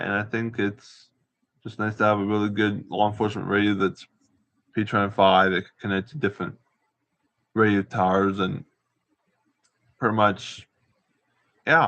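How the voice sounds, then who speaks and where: Gravelly low-voiced man, rambling casually. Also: bright, forceful tone. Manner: halting, searching for words, repeating himself. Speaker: amateur vlogger showing gear. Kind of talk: casual rambling show-and-tell to camera.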